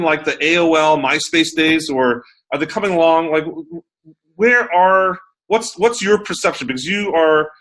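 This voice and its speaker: A man's voice: a little high, fairly bright tone. A man hosting a podcast interview in a casual, chatty way, with many ums and uhs. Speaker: podcast host.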